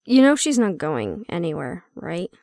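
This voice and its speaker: A woman's voice: on the low side, big pitch swings, measured pace, a little mellow, dry recording. Woman acting wry, prickly fantasy handler, close-up. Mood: dry, needling. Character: prickly but secretly caring woman handler in fantasy facility. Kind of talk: intimate audio roleplay, addressed to the listener.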